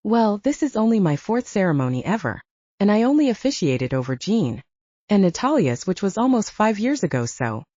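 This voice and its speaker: Woman reader as a playful young man, easygoing audiobook character, theatrical banter, lively pitch swings, mellow tone, dull recording. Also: normal speed, no room sound. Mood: playful, eager.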